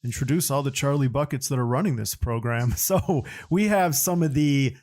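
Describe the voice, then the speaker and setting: A man's voice: mid-range voice, normal speed, darkish tone, dry recording. A man hosting a podcast, interviewing guests in a casual conversational style. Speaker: podcast host.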